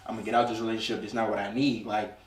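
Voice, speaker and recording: A man's voice: fairly low pitch, flat delivery, rapid-fire, slight background noise. A nineteen-year-old man in a casual, slangy podcast conversation, speaking emphatically and repeating himself for emphasis. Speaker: podcast co-host. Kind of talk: casual group chat about relationships. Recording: echoey room, distant mic.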